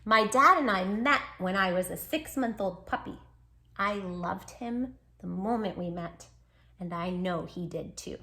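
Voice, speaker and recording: A woman voicing a small, proud and affectionate male dachshund from a storybook diary, timid and stubborn by turns, with playful, drawn-out phrasing. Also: mid-range voice, pushed and bright. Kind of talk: storybook diary read aloud.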